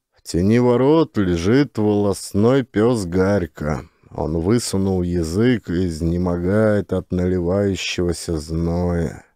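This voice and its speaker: A man's voice: fairly low pitch, dark tone, no room sound, clean studio sound. A man narrating a literary audiobook as an actor reading from a script.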